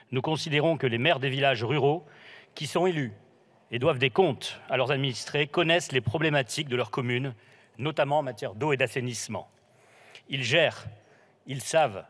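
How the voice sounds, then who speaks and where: Male politician, moderately high voice, measured parliamentary delivery in short phrases. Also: fairly bright tone. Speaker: politician speaking in a parliamentary debate. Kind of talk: formal prepared political speech.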